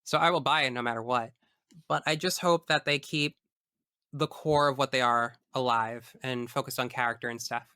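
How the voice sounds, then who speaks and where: A man's voice: mid-range voice, fairly even intonation, normal speed, pushed and bright, clean tone, no echo, studio quality. A man chatting casually as a podcast guest, opinionated and emphatic, swearing freely. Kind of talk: casual chat about video games.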